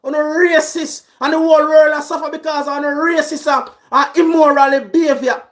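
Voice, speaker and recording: A man with a Jamaican accent, shouting through an angry political rant. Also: very high-pitched, level tone, moderate pace. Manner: shouting, raging.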